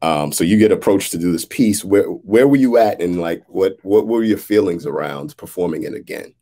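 Playwright, male, thirties, American. Low voice with a creaky edge, halting and reflective in conversation. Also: mellow. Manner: halting, thoughtful, often checks in with "right?". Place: indoors, on a video call. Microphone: video call.

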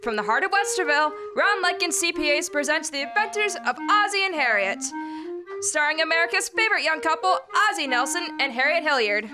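A teenage girl as a high-voiced radio announcer over music. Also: expressive, fairly quick. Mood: showy, upbeat. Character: showy old-time radio announcer. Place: school library. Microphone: standing stage microphone. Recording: loud music under the voice.

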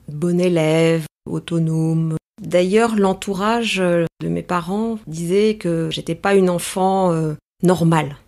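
A middle-aged woman, a coach, answering interview questions in a calm, measured way with frequent hesitations. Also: fairly low pitch. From France. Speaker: coach, guest. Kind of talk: podcast interview.

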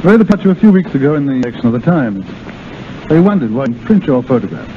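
An actor playing a genial, tactful gentleman doctor in a 1930s radio drama. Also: medium pitch, very animated, fast talker, natural voice. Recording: tinny, noisy 1930s radio recording.

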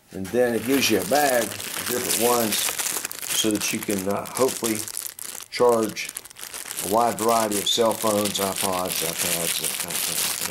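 A man hosting a casual hands-on product review, talking in a folksy, informal, halting way. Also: lowish voice. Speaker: host of a product review video channel. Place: at a tabletop, later out in a backyard. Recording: clear background noise, home camera recording.

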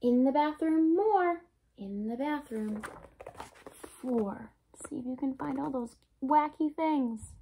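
Casting: woman, twenties, slightly high voice, expressive read-aloud for small children, some background noise. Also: slow, rough recording. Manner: expressive, addressing children directly. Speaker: childcare worker reading to children. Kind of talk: read-aloud picture book storytelling for young children. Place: small room indoors.

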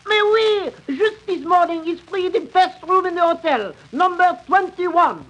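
An actor playing a fussy, excitable French hotel manager with a French accent and broken English in a radio play. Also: male voice, very high-pitched, a little slow, low-quality recording. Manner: theatrical, exclamatory, broken English.

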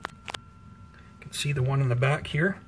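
A man giving an unhurried, conversational show-and-tell as a hobby vlogger, talking casually indoors. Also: medium pitch. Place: indoors at home by plant shelves. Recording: clear background noise, room ambience.

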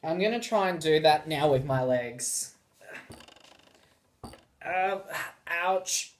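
A man's voice: a little high, takes it slowly. A man in his twenties with an Australian accent, talking casually and theatrically in a reaction vlog, recorded indoors on a device mic. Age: 20s. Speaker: YouTube reactor. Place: small bright room indoors.

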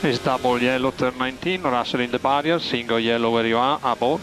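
A man's voice: mid-range voice, fast talker, fairly bright tone, poor audio. A man acting as race engineer, passing information over team radio. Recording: radio transmission under music. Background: music and car noise.

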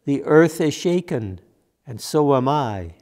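Older man playing grieving biblical disciple, reflective and ashamed, slow, highish pitch with expressive swings. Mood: sorrowful, ashamed. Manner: slow, reflective, questioning monologue. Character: grieving, guilt-ridden male disciple of Jesus. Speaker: minister. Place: church sanctuary, at lectern. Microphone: gooseneck lectern microphone.

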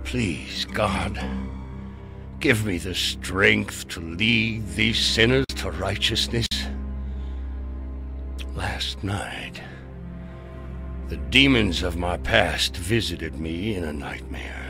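An actor playing a stern, righteous old gunslinger turned preacher in a video game, speaking in solemn, declamatory biblical phrases. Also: male voice, low-pitched, extremely slow, pauses often, music in the background, exaggerated voice. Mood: solemn, troubled prayer. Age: older.

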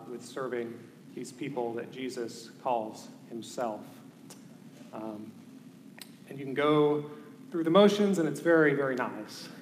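Earnest priest preaching in an echoey church. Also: male voice, mid-range voice, slight background noise. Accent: Southern American. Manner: earnest, hesitant with many ums. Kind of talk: sermon. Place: large church sanctuary. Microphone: far camera.

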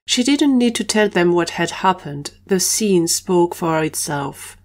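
A woman's voice: fairly low pitch, lively intonation, a little slow. A woman narrator reading scripted storytelling for an audio drama.

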